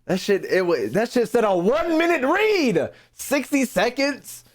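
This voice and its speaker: A man's voice: very high-pitched, big pitch swings, a little slow. A young man giving casual live-stream commentary on a game, brash, slangy and profane, often repeating himself.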